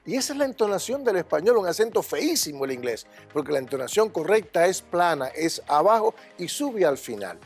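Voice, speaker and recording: A man's voice: sits high, sing-song, a little fast, creaky, hardly any pauses, breathy edge, faint music behind. An older man speaking as a language school director in a TV studio interview, with an emphatic, confident, instructive way of talking. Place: TV studio set.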